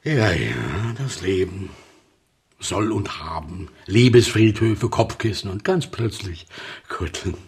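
An actor in a radio play playing a musing, courteous, eccentric librarian who speaks hesitantly in florid, old-fashioned phrases. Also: male voice, sits low, animated, slow pace, slightly rough, heavily acted. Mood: musing, tentative.

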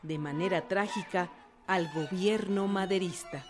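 A woman's voice: lowish voice, a little slow. A woman delivering scripted historical narration for a radio drama. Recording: music bed behind narration.

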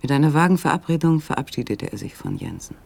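A man narrating a literary radio play in a measured, suspenseful storytelling manner with dramatic pauses. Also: medium pitch, big pitch swings, gravelly, a little mellow, slightly rough. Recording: faint background ambience.

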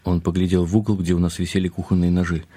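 A man narrating a first-person radio drama in an expressive, confiding, slightly wry way. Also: sits low, fast, slightly rough, no echo. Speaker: narrator and lead actor.

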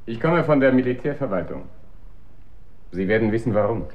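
An actor playing a male military administration official in a radio drama, businesslike, insinuating and quietly menacing. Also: medium pitch, expressive, brisk, dull audio, close to an everyday voice. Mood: businesslike, persuasive. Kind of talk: German radio drama acting.

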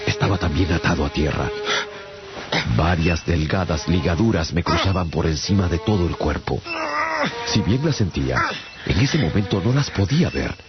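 A man narrating a radio drama as a traveller recounting his adventure, in a theatrical, deliberate storytelling manner. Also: sits low, animated. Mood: wondering. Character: adult male traveller recounting his strange captivity. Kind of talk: dramatised first-person audiobook narration. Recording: dull radio audio over clear music.

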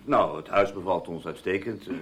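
A man's voice: low voice, normal speed, creaky. A man acting a polite, unsuspecting husband in a Dutch radio play, courteous and a little hesitant.

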